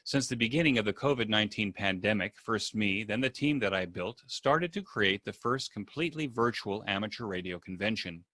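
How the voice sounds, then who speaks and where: Male event host, lower register, crisp forward tone, scripted formal welcome. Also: expressive, a little fast, few pauses, bright, forceful tone. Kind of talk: prepared welcome address.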